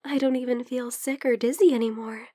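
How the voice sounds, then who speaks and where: A woman playing a shy, lovestruck young female vampire in an intimate ASMR roleplay, speaking softly and hesitantly, often slipping into whispers. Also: a little high, fairly even intonation, a little fast, no echo, studio quality, lightly acted. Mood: dazed, blissful. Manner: hesitant, soft, breathy murmuring that slips into whispers.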